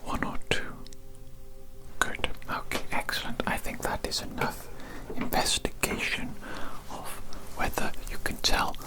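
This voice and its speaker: Soft whispering hearing examiner. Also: low-quality recording. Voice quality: whispered, unvoiced throughout. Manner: slow and soothing, with repeated gentle praise. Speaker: hearing test examiner in a roleplay. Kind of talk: ASMR roleplay.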